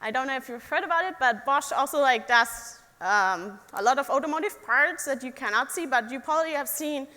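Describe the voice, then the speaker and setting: Young woman, German accent, high voice, bright tone, friendly presentation with audience questions, many ums, hall reverb. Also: brisk, few pauses. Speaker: engineer giving a talk.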